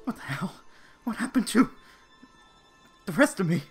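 A man in a film fan dub playing an indignant, loud-mouthed athlete shrunk into a small sidekick's body. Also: high-pitched, sing-song, normal speed, soft background music. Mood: alarmed, angry. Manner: indignant, repetitive, blustering. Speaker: amateur fan-dub voice actor.

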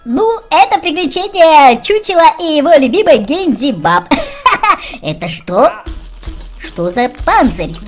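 A woman co-hosts a children's game video in a very high, childlike voice. Her delivery is animated and exclamatory, leaping widely in pitch over loud music. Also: slow. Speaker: let's play co-host. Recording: music behind the voice.

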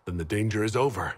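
Husky, low-voiced weary templar. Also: male voice, in a large hall. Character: weary young noble templar man, earnest and defensive. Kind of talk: video game cutscene acting.

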